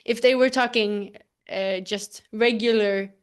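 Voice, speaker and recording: Slow, hesitant Swedish-accented woman. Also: medium pitch, steady tone, clear, resonant tone. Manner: hesitant, searching for English words. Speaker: actress interviewee. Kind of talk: casual interview answers.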